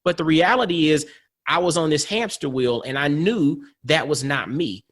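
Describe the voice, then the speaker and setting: Guy in his 40s doing a motivational podcast, earnest n emphatic, voice a bit on the high side. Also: normal speed, a bit bright, husky. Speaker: podcast host. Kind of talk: conversational motivational interview.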